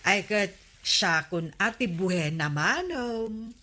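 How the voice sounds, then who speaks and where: Village auntie, Filipino accent, neighbourly haggler, unhurried and bright, radio comedy drama. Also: female voice, medium pitch, animated, exaggerated voice.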